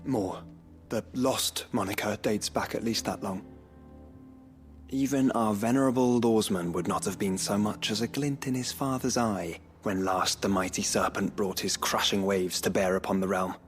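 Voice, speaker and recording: Eloquent low-voiced young nobleman acted by a man. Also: steady tone, normal speed, faint music behind, close to an everyday voice. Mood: earnest. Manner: formal, eloquent. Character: learned, noble young man, earnest scholarly ally. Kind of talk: dramatic video game voice acting.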